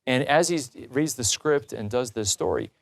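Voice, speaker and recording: A man's voice: mid-range voice, normal speed, creaky, no room sound. A man hosting a religious talk show in a conversational, earnest and encouraging way.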